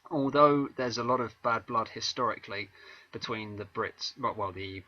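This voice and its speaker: A man's voice: fairly low pitch, normal speed, bright, forceful tone. A man with an English accent, a guest musician chatting in an interview, talking with frequent ums and restarts. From England. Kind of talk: casual interview chat. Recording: dull lo-fi video call audio.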